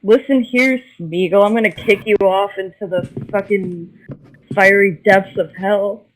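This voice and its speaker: Voice chat participant, crude deadpan jokes, mid-range pitch, casual trolling. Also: a little slow, slight background noise, low-quality recording.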